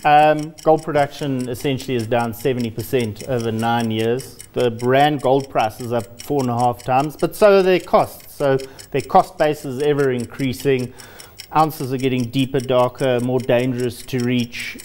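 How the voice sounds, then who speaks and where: A man's voice: mid-range voice, steady tone, moderate pace, darkish tone. A man in his thirties debating as a guest analyst in a TV studio, explaining his case. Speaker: investment analyst, show guest. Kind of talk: unscripted market debate. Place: TV studio, standing.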